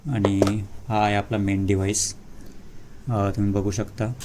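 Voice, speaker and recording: A man talks through a product in a low, very even voice. He speaks casually and haltingly, in short phrases with pauses. Some background noise sits behind him. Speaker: vlogger presenting a product unboxing. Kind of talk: casual unscripted product walkthrough.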